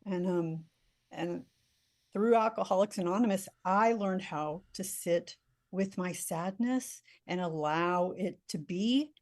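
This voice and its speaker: A woman on a video call giving a soft, halting, heartfelt share at a recovery meeting. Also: fairly low pitch. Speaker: meeting member sharing.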